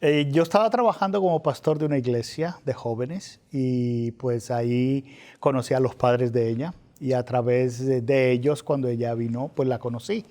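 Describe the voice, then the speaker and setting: A man's voice: mid-range voice, moderate pace, dark tone. A man from Colombia, a pastor giving brief, plain answers as a guest. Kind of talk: interview testimony.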